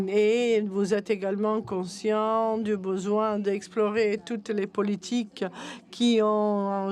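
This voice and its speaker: Slow, even-toned woman interpreting. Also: medium pitch. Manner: halting, word-by-word delivery with self-corrections. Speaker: simultaneous interpreter. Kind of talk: live interpreting of a conference.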